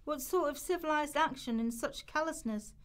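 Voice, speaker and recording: A woman's voice: sits high, normal speed. A woman reading scripted lines aloud in a rehearsal for a radio play.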